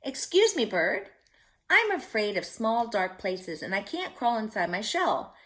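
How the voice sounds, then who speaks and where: A woman's voice: fairly high pitch, sing-song, a little slow, fairly bright tone. A woman voicing a small, frightened young boy turtle in a storybook, polite and plaintive as he asks and answers. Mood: polite, worried.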